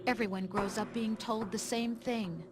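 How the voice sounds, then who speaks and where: A woman's voice: medium pitch, moderate pace, lightly acted. Condescending female machine intelligence played by a woman. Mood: calm, condescending. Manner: condescending, calm, lecturing. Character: female artificial intelligence, condescending, coolly patronizing. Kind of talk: video game cutscene acting. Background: music.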